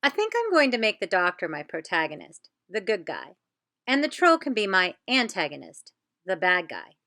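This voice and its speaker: A woman teacher presenting a step-by-step lesson for children, with deliberate, encouraging delivery. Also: mid-range voice, huge pitch swings, bright, forceful tone. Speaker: teacher presenting an educational show.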